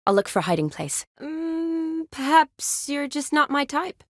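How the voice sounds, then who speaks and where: An actress playing a young woman army medic in a video game, caring, playful and briskly practical, with a natural, teasing delivery. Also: high-pitched, big pitch swings, dry recording, studio quality. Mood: wry, playful. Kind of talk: video game character dialogue.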